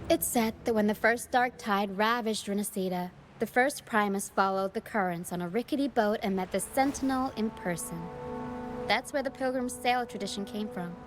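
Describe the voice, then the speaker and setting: An actress playing a composed, solemn young woman guide in a fantasy video game, speaking in a measured, explanatory way. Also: medium pitch, lightly acted. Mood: grave, watchful. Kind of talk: scripted video game voice acting. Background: game music.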